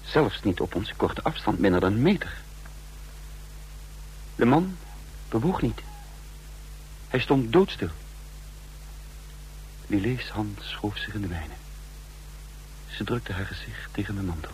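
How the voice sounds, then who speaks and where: Through heavy noise on an old radio drama recording comes a composed male spy. He lays things out deliberately and calculatingly, as both narrator and character. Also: fairly low pitch, animated, darkish tone, low-quality recording. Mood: tense, hushed. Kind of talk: Dutch radio play acting.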